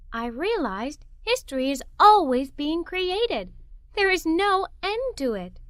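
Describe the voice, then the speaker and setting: A woman acts out a curious, excitable little girl narrating a children's story. The voice is high and bright, with big expressive swings, and it sounds close and dry. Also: no echo, exaggerated voice. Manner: animated, expressive, wide-eyed. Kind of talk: scripted children's story read-aloud.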